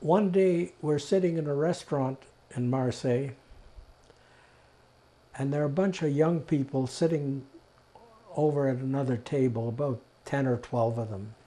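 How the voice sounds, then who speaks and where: An elderly man from Czechoslovakia giving personal testimony, telling his story deliberately in short, emphatic phrases with pauses. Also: medium pitch, steady tone, warm tone. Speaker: interviewee, Holocaust survivor giving testimony. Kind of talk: personal oral history testimony.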